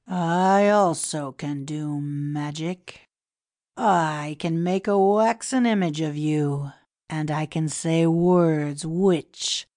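A woman voicing a pompous, scheming male Egyptian priest in an audiobook, speaking in a grand, confiding, measured manner. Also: fairly low pitch, warm tone, no echo, clean studio sound. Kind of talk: audiobook dialogue reading.

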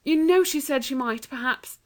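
Woman acting a young girl, high voice, earnest, well-spoken, pleading, children's storybook character. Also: normal speed, lightly acted. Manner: polite, coaxing, well-spoken. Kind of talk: acted children's storybook reading.

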